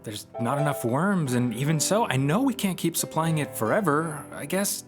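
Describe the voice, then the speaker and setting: A man voicing a worried, fussy father blue jay in an animated short film. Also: on the high side, normal speed, natural voice. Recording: guitar music behind the voice.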